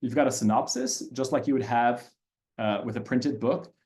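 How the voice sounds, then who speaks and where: A man's voice: mid-range voice, steady tone, moderate pace, a little mellow. A man who grew up in Austria and speaks German natively, presenting a reading platform to teachers in a conversational webinar, with many fillers and restarts. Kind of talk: webinar walkthrough with screen sharing.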